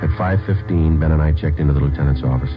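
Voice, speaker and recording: A man's voice: rumbling low, fast. An American man playing a terse, businesslike police sergeant in a 1950s radio crime drama, clipped and matter-of-fact. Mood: flat narrating. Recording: muffled old radio recording with music.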